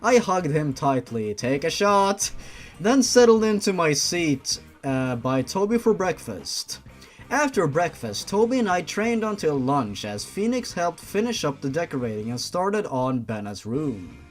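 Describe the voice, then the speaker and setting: A man's voice: on the high side, very animated, measured pace, soft background music. A man reading a story aloud as host, theatrical and sarcastic, with sweary mocking asides.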